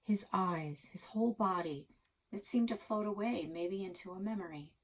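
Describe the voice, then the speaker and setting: A woman reading a children's story aloud with dramatic, expressive delivery, as a library reader. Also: medium pitch, normal speed, rough recording.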